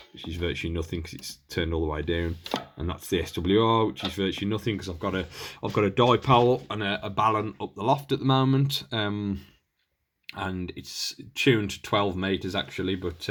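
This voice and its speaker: A man with a low voice talks through a piece of gear as a hobbyist presenter. He is unscripted and casual, often hesitating and wandering as he thinks out loud while his hands are busy. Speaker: hobbyist presenter reviewing radio equipment.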